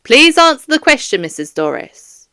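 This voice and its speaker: A woman voicing a stern police detective in an audiobook, questioning a suspect in a firm, formal, measured way. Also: sits high, very animated, sharp tone, no echo, studio quality, heavily acted. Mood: firm, official.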